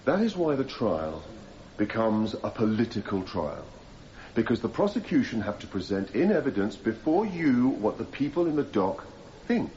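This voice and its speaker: An actor playing an impassioned defence barrister, making a measured, persuasive courtroom speech to a jury in a radio drama. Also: male voice, medium pitch, very animated, warm tone, close to an everyday voice. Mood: earnest. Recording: slightly dull, noisy radio broadcast copy.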